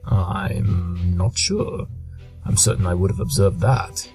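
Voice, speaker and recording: An actor playing an aloof pony detective, reading audio drama credits in a dry, formal, dismissive manner. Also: male voice, sits low, steady tone, slow pace, darkish tone, soft background music.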